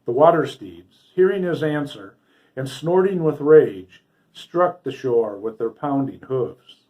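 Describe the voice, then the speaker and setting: A man's voice: medium pitch, expressive, slow, warm tone, low-quality recording. Man reading a fairy tale dramatically. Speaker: storybook reader. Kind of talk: scripted fairy tale narration for a story hour.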